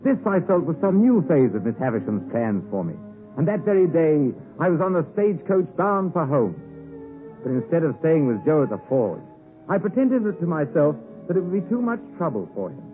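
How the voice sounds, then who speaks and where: A man playing an earnest, polite young man aspiring to be a gentleman in 1950s radio drama style, on a tinny recording. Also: fairly high pitch, sing-song, normal speed. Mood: reflective, narrating. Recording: tinny 1950s radio broadcast, faint music.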